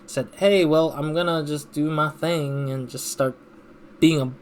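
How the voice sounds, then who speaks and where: A man hosting a let's play, reading game text aloud and commenting casually as he thinks out loud. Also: on the high side, fairly even intonation, a little slow, slight background noise.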